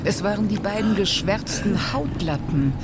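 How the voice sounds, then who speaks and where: A man reading dramatic, suspenseful horror narration for an audio drama in a measured way. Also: sits high, very noisy.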